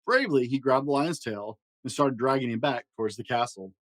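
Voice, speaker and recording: Male storybook reader, mid-pitched, animated bedtime delivery with dramatic pauses, recorded dry. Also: no room sound. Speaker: podcast host reading a children's storybook aloud. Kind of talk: expressive storybook narration.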